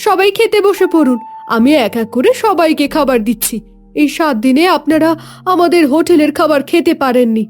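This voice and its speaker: A woman's voice: high voice, lightly acted. A woman playing a hard-working village wife who cooks and runs a food hotel, in a cartoon dub. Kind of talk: Bengali cartoon dubbing. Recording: music behind the voice.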